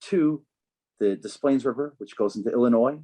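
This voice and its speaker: Earnest man, measured speech. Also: medium pitch, mellow. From United States, Chicago. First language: Polish. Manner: earnest, measured, with frequent ums and uhs. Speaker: political candidate, guest. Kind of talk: conversational interview answers.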